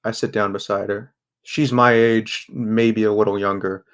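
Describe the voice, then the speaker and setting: A young man acts a sardonic, guarded teenage boy narrating a bleak audio drama. The voice sits low and stays very even, with a subdued, first-person storytelling delivery. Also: a little slow. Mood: somber.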